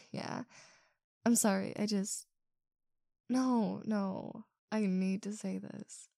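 Woman acting as slow-talking, creaky-voiced affectionate girlfriend. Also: mid-range voice, fairly even intonation, warm tone, dry recording, studio quality. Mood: sheepish, affectionate. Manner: breathy, intimate, drawn-out delivery with sighs. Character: possessive, affectionate girlfriend lover, adult woman. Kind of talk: intimate roleplay audio acting.

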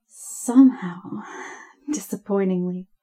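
A woman narrating adult erotic audio slowly and intimately, with a breathy, husky voice that often drops to a whisper and lingers between sighs. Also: mid-range voice, poor audio. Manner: slow, hushed, lingering delivery broken by sighs and moans.

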